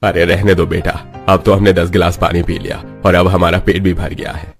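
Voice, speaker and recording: A man's voice: sits low, level tone, moderate pace, faint music behind. A man voicing a middle-aged, plain-spoken father in a cartoon family story. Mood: resigned, impatient. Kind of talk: Hindi cartoon story dubbing.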